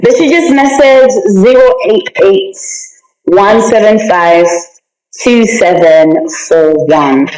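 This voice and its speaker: A woman's voice: mid-range voice, darkish tone. A woman from Malawi, a podcast guest talking about her music program in an upbeat, encouraging way. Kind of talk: podcast conversation. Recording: roomy echo.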